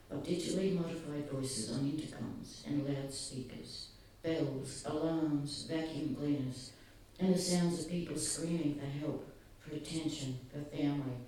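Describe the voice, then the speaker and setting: A soft-spoken man giving an earnest, halting public talk, often pausing. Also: fairly high pitch, slight background noise. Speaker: speaker at a gathering. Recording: very echoey, distant, faint ambience.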